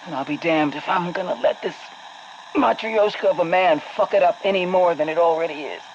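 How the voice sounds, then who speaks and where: Actress as gruff, commanding woman, muffled recording. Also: on the low side, a little fast, close to an everyday voice. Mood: irritated, driven. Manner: curt, gruff, demanding. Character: tough, irritable, commanding woman in charge. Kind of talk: scripted game dialogue. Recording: muffled, noisy audio log.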